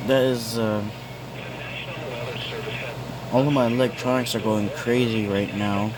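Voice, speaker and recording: A man narrating a casual, unscripted vlog in a halting, repetitive way. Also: mid-range voice, steady tone, background noise. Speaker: amateur vlogger filming weather radio alerts.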